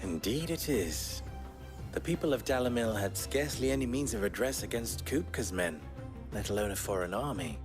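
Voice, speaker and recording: An actor playing a wry, sardonic merchant fixer with a dry, teasing manner in a game cutscene. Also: male voice, fairly low pitch, lively intonation, moderate pace, music in the background, natural voice. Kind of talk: video game cutscene acting. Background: game score.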